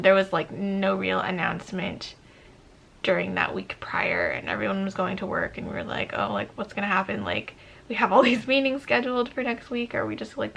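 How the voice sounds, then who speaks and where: Raspy-voiced young woman, chatty and relaxed. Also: medium pitch. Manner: relaxed, rambling, lots of ums and likes. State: raspy morning voice. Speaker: beauty vlogger. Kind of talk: chatty get-ready-with-me vlog.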